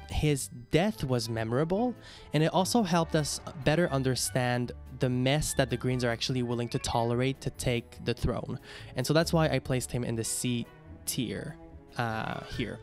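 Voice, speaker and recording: A man from Quebec whose first language is French, speaking English with a Québécois French accent as a YouTube host, talking casually and hesitantly with many fillers. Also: medium pitch. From Quebec, Canada. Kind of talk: casual ranking commentary.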